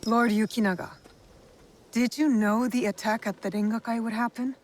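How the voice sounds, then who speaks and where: An actress playing a calm, resolute young woman investigator in a video game drama, speaking in a measured, firm way. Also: fairly high pitch, a little hiss or hum, natural voice. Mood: measured, probing. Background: background music.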